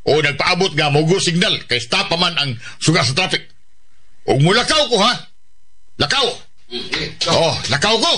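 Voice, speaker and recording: A cocky Filipino street gang boss pitches his voice high and swings it widely. He barks short, jeering orders in a radio drama, with clear noise in the background. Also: big pitch swings, moderate pace, long pauses. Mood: bossy, instructing. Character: bossy, cocky male street pickpocket gang leader.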